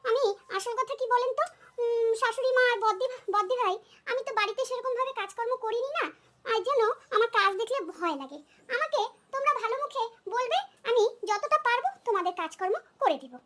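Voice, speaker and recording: A woman's voice: very high voice, bright, forceful tone, exaggerated voice. A woman voicing a vain, hot-headed but kind young daughter-in-law in a comic cartoon, chattering, nagging and pleading.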